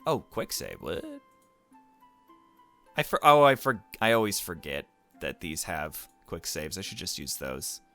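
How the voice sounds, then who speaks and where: Low-voiced man doing wry game commentary. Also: big pitch swings, unhurried, bright, forceful tone, faint music behind. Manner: wry, teasing asides. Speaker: let's player reading a visual novel aloud. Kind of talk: casual gameplay commentary mixed with read-aloud dialogue.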